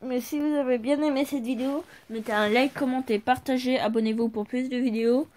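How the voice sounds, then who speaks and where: A woman's voice: sits high, fairly even intonation, brisk, a little mellow. A woman hosting a casual vlog, impatiently repeating the same question to a phone. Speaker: channel host.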